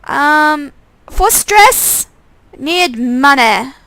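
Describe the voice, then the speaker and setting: A woman's voice: high voice, expressive, extremely slow, bright, forceful tone, no room sound. A woman streaming a visual novel game, reading its text aloud casually and sometimes stumbling over phrases and repeating them.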